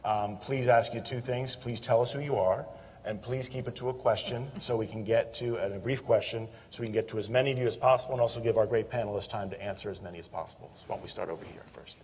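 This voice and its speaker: A man's voice: on the low side, quick pace, darkish tone, breathy edge. A man moderating a panel discussion. He is polite and directive, with some fillers. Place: conference hall. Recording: long hall reverb.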